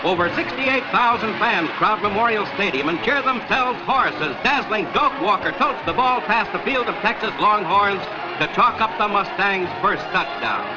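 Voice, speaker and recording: A man's voice: sits high, lively intonation, normal speed, hardly any pauses, lo-fi sound. A man narrating football in a dramatic, punchy 1940s newsreel style, on a vintage film soundtrack. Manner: dramatic, punchy, declamatory delivery. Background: loud music.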